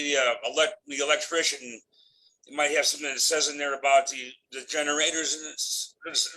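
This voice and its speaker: A man with a Chicago accent speaks in a bright, forward voice, mumbling a little as he talks. Faint background noise sits behind him. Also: mid-range voice, rough recording. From United States. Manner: mumbling, self-deprecating. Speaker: guest author. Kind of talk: casual conversation.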